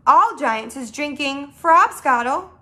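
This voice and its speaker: A woman doing an excitable, bright-voiced big friendly giant. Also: sits high, exaggerated voice. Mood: puzzled, emphatic. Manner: emphatic, exclaiming, drawn-out words in mangled grammar. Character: big friendly giant man, excitable, indignant, muddled grammar.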